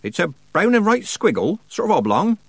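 Cheeky old country witch, male narrator acting her, bossy and folksy, raised pitch, very animated storybook delivery. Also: moderate pace, husky, no echo, exaggerated voice. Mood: matter-of-fact. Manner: folksy, colloquial, teasing and scolding. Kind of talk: audiobook character dialogue.

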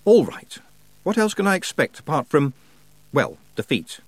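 A man voices a young witch girl in a high, lively-pitched voice. She is sensible and sceptical, questioning the grown-ups around her in a storybook tale. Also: moderate pace, husky, no room sound. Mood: exasperated, questioning.

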